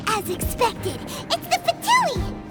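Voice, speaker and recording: Small cheerful, anxious game fairy. Extremely high, swoopy, childlike. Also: female voice, wildly swooping pitch, takes it slowly, full character voice. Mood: nervous. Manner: speaks of self in third person. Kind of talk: video game voice acting. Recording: music playing behind the voice.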